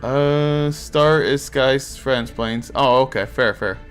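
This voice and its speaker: Male streamer, Portuguese accent, laid-back chatty host, moderate pitch, faint background music. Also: slow. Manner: easygoing, chatty, reacts to chat. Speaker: streamer hosting a card game tournament. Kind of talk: casual live-stream chat reading viewer comments.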